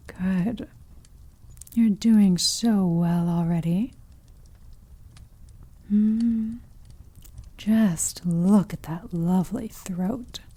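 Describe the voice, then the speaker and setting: A seductive vampire mistress, acted by a woman in an ASMR roleplay, with a very dark, velvety tone. She speaks extremely slowly and possessively, purring condescending endearments. Her commands often melt into breathy whispers. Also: medium pitch. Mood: menacing, seductive.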